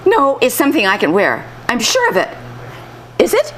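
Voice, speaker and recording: A woman's voice: fairly high pitch, wildly swooping pitch, moderate pace, a bit bright. A woman playing a sweet but pushy teenage girlfriend, coy and theatrical, in 1940s radio comedy style. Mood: excited, coaxing. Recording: live acting with clear background noise.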